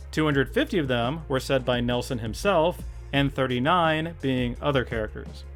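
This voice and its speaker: Man, lively swinging pitch, casual jokey video narration, emphatic, mock-exasperated, music underneath. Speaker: video host, YouTuber. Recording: music behind the voice. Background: background music.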